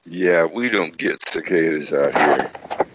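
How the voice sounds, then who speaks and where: A man's voice: rumbling low, slow pace, a bit bright, husky, no echo, rough recording. A man calling into a casual show and telling easygoing stories over a remote call. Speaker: guest caller. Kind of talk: casual chat. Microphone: remote call audio.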